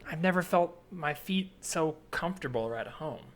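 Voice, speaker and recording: A man improvising an earnest, obsessive man in his twenties in a naturalistic comedy scene, speaking haltingly with pleading intensity. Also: on the high side, expressive, lightly acted. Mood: intense, searching. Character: earnest obsessive 27-year-old man haunted by flip-flops. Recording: remote recording with added ambient soundscape noise.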